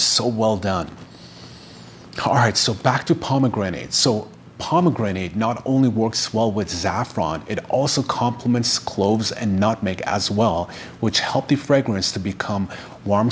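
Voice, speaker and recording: A middle-aged man whose native language is Farsi speaks to camera in a fairly low voice. He reviews fragrances effusively, lingering over each description. The indoor recording carries some steady background noise. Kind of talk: enthusiastic product review to camera. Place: indoor room, seated.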